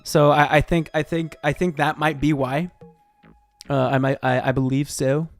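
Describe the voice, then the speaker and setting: Higher-voiced American man streaming casually. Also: moderate pace, vocal fry, faint music behind. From Texas, United States. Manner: excitable, swearing, jumps from muttering to shrieks. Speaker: livestream host. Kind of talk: casual stream chat, reacting and reading aloud.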